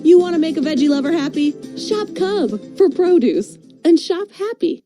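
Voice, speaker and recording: A woman's voice: extremely high pitch, lively intonation, normal speed. An American woman announcing a scripted radio commercial in short, punchy phrases. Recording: music bed behind voice.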